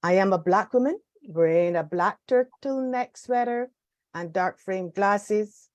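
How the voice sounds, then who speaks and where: A woman from Barbados with a Barbadian accent, giving an earnest, measured prepared talk as a guest speaker, with occasional restarts. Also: mid-range voice, no room sound, muffled recording.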